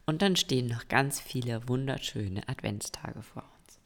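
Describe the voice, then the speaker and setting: A woman of around forty, hoarse and stuffy-nosed, hosting a solo podcast and encouraging her listeners directly. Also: a bass voice, measured pace. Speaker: podcast host. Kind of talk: solo podcast monologue giving tips. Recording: long reverb with faint music.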